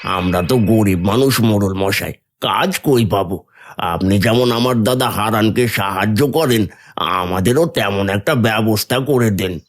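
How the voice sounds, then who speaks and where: A man playing a poor, resentful, scheming village idler in an animated story, given to complaining and wheedling. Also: lowish voice, a little slow. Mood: pleading.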